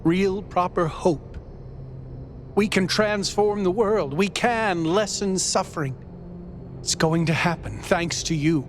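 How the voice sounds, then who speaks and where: A man's voice: sits high, slow, slight background noise. A man acting a sincere, idealistic robot in a video game, speaking in an earnest, heartfelt way. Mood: hopeful. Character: hopeful, idealistic male robot citizen, sincere friend.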